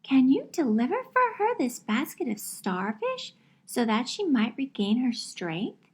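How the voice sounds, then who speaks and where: A woman's voice: high voice, sing-song, unhurried, husky, full character voice. A woman voicing a bossy, exasperated mermaid mother with little sympathy, in a puppet show. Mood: urgent request.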